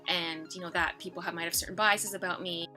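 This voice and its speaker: Bright, chatty young Canadian woman. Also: lowish voice, fairly even intonation, fast talker, faint music behind. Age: young adult. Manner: chatty, with frequent "like" and "you know". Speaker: dietitian guest. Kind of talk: casual personal talk.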